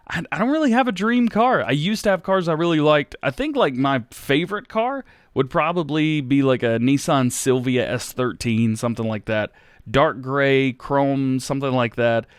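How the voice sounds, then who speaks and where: Relaxed American man, dry close mic. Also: medium pitch, clean tone, dry recording. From United States. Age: 30s. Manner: relaxed and rambling, with many fillers and restarts. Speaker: YouTube gaming host. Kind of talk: casual Q&A, reading viewer questions.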